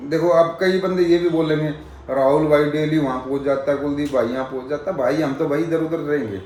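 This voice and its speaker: A man vlogging in a lively, emphatic chat with a friend. His voice sits a little high, and the room around him is echoey, with a faint hiss of background noise. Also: brisk. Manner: animated, emphatic, talks over others. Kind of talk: casual chat.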